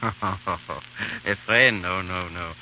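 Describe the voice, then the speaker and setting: An old priest in a vintage radio drama, very low-voiced and mild. He is hesitant, dry and guarded, heard through a muffled, faintly noisy old broadcast. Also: drawn-out words. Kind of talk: 1930s radio acting.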